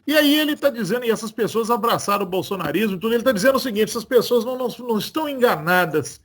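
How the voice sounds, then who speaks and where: A man's voice: sits high, animated, racing, fairly bright tone. A man with a Brazilian Portuguese accent hosting a political commentary talk, earnest and argumentative as he builds his points. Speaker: host of political commentary show.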